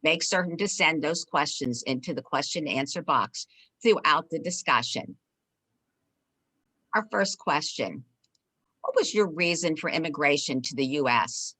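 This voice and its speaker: A woman's voice: low voice, expressive, a little slow, fairly bright tone. A woman moderating an online panel, upbeat and formal as she introduces the panelists and poses questions.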